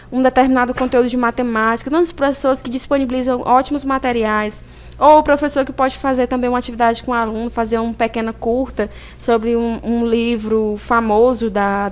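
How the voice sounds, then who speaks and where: A woman with a high voice and a Brazilian Portuguese accent presents a video lesson. She explains in an informal, didactic way, posing questions and answering them herself, with frequent little tag questions to check that listeners follow. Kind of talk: informal recorded lecture.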